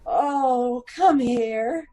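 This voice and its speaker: Woman acting a arch sweet detective wife, high voice, takes her time, old radio mystery. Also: extremely slow, warm tone. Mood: teasing, affectionate. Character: witty, affectionate, teasing detective's wife.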